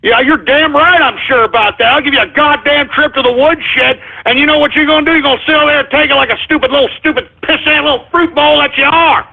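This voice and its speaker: A man hosting a call-in radio show, brash and excitable, hurling insults at callers and often yelling. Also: very high-pitched, fast, gravelly, few pauses. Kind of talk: loud ranting call-in banter. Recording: tinny lo-fi stream audio.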